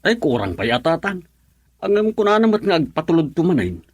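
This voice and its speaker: An actor with an Ilocano accent playing a cheerful married father in a radio drama, with a hearty, expressive delivery. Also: a little high, a little fast, breathy edge, no room sound, studio quality. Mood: friendly, hearty. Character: cheerful married father, husband of an overseas worker.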